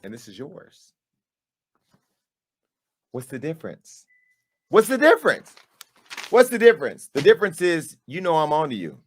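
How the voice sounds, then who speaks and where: A high-voiced man delivering a sassy callout. He swings between hushed, pointed asides and loud, punchy bursts, like a host laying out receipts for his audience. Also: big pitch swings, moderate pace, long pauses. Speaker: media company owner and host. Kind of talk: on-camera callout monologue.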